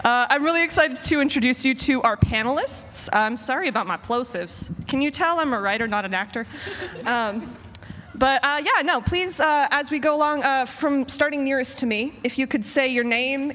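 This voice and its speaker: High bright woman hosting a panel, big echoey hall with noise. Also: normal speed. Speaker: panel moderator, writer. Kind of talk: live panel introduction and hosting. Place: conference panel room with sound system. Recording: reverberant conference hall, clearly noisy.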